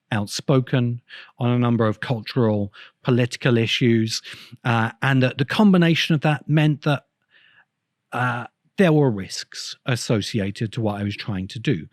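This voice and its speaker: A man giving unscripted spoken commentary as a video essayist, explaining things deliberately with emphatic pauses. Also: medium pitch, dry recording, studio quality.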